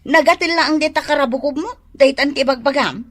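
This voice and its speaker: An actress with a Filipino Ilocano accent playing an excitable, alarmed wife in a radio drama, exclaiming shrilly and wailing. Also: female voice, high-pitched, brisk.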